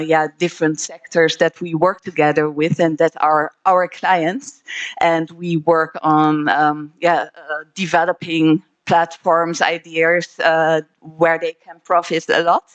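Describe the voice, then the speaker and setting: A middle-aged woman from the Netherlands, giving a friendly, hesitant conference talk on a stage microphone, with many filler pauses. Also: sits low, lo-fi sound. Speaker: conference speaker. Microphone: handheld microphone.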